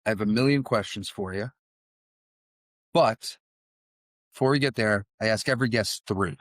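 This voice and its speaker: A man's voice: fairly low pitch, moderate pace, dry recording, studio quality. A middle-aged man hosting a podcast in a conversational interview style. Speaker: podcast host.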